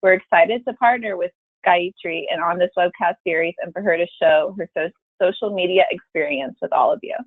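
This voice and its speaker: A woman's voice: on the low side, animated, brisk, gravelly, no echo. Woman reading an intro over a phone line. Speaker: marketing manager hosting the webcast. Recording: tinny phone line, dry.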